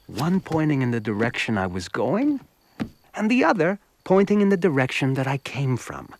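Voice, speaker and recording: An actor playing a naive, earnest village simpleton who narrates his own folk tale in an animated short, with storytelling pauses. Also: on the high side, slight background noise. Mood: simple wonder. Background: music.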